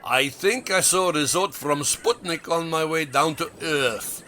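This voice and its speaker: A man playing an earnest foreign cosmonaut castaway in a comedy audio drama, speaking halting, broken English. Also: fairly high pitch, pushed and bright, a little hiss or hum. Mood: earnest, puzzled.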